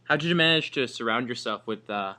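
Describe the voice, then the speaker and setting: A man's voice: medium pitch, expressive, a little fast, sharp tone. A man acting as interviewer, asking questions in a casual, conversational way.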